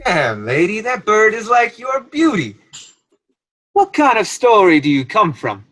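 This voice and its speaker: A man playing an earnest, matter-of-fact furry beast in an irreverent radio play, with a husky voice. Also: high voice, wildly swooping pitch, measured pace, fairly bright tone, heavily acted. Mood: resigned, impressed.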